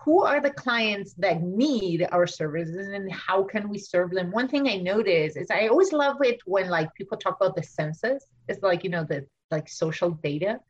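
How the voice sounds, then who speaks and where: A woman with an Argentine Spanish accent speaks at a middling pitch, thinking aloud in a loose, searching way and circling back on her sentences. The recording is a little dull and muffled. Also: animated, moderate pace, hardly any pauses, breathy edge. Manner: thinks aloud with many fillers and restarts. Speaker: architect and author. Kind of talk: podcast interview. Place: home studio in Washington DC.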